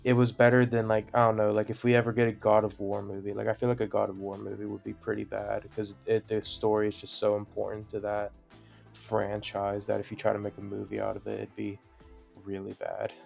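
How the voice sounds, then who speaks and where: A man's voice: on the low side, completely flat delivery, brisk, creaky, a little mellow, soft background music. A young man co-hosting a podcast, searching for words and trailing off. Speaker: podcast co-host. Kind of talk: casual chat.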